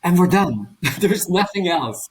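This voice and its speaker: A man's voice: high voice, a little slow, a little hiss or hum, rough recording. Swiss man explaining strategy animatedly. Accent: Swiss German. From German-speaking Switzerland. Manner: animated, explanatory, laughs often. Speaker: author and professor. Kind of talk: conversational interview answers.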